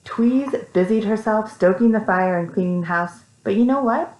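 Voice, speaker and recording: A woman with an American accent reading a story to young children, animated, with simple casual asides. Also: medium pitch, moderate pace. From Texas, United States. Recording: echoey room.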